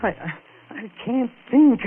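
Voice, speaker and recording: An actor playing an anguished, self-loathing man guilty of murder, halting and melodramatic, in the style of 1940s radio horror. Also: extremely high pitch, animated, husky, heavily acted. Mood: frantic, pleading. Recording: tinny, noisy vintage radio recording.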